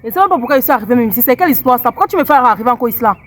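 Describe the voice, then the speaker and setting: Defiant high-voiced woman, quick retorts. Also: expressive. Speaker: confronted partner. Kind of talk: heated street argument.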